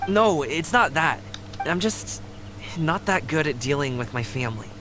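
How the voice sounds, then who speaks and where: Man as a lively, boyish, friendly young guy. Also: fairly high pitch, moderate pace, lightly acted. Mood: uneasy. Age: young adult. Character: friendly, attentive young man, awkward about family. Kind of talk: anime-style game voice acting. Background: game music.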